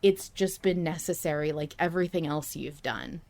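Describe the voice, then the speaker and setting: A woman hosting a casual fan discussion podcast, speaking in an emphatic, passionate and halting way. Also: deep voice, darkish tone. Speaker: podcast host.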